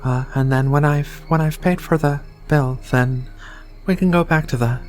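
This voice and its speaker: A woman playing a shy, flirty, affectionate alien in a soft-spoken, hesitant ASMR roleplay. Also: deep voice, lightly acted. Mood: bashful, flustered. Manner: hesitant, bashful, murmuring with many pauses. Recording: quiet music behind the voice.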